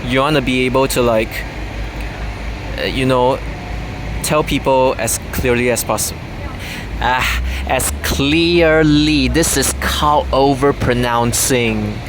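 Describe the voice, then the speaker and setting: A man chatting unscripted to his camera, rambling along with plenty of fillers and restarts. His voice sits in an ordinary middle register. A steady hum of air conditioning or traffic runs clearly behind him. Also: medium pitch, slow pace. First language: English. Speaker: vlogger. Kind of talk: unscripted casual monologue to camera.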